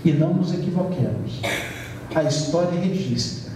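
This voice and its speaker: A Brazilian man delivers a spiritist lecture in a measured, emphatic way, now and then easing into light humor. His voice sits high but sounds mellow and soft-edged. He is heard from a distance in a big, echoing event hall with a faint hiss underneath. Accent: Brazilian Portuguese. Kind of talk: lecture to an audience. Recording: roomy hall sound from far off with faint noise.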